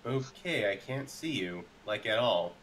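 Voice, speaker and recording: A man's voice: on the low side, animated, a little slow, fairly bright tone. A man roleplaying casually in a tabletop game, hesitant and thinking aloud as he talks. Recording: clearly noisy background.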